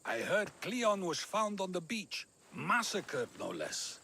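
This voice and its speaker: An actor playing a wise old philosopher in a video game, measured, reflective and declamatory. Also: male voice, sits high, huge pitch swings, vocal fry, bright, forceful tone, slight background noise, heavily acted. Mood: wry. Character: wise old male philosopher, calm and musing. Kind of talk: dramatic game cutscene dialogue.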